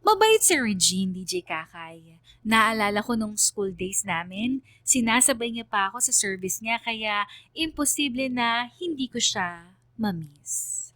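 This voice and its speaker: Sentimental Filipina radio story narrator, dry studio. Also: female voice, a little high, expressive, a little slow, fairly bright tone, dry recording. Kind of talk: scripted first-person radio story narration.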